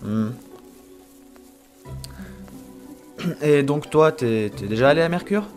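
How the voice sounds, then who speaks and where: A man playing an aloof, calculating young potion student in an amateur fantasy audio drama, with a terse, matter-of-fact, deadpan delivery. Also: a little high, normal speed, soft background music, natural voice.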